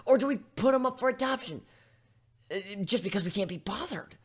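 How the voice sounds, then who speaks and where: Woman voicing an anxious, husky young female griffin. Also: fairly high pitch, animated, voice pushed up. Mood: panicked, self-loathing. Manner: agitated, halting, stammering. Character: anxious, self-doubting young female griffin, newly pregnant. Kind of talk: fan fiction dramatic reading.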